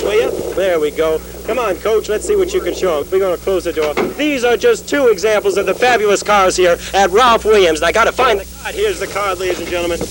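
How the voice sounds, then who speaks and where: A man's voice: high-pitched, lightly acted. A man playing a rambling used-car salesman in a parody television commercial, with asides and stumbles. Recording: noisy amateur 1960s newsreel recording.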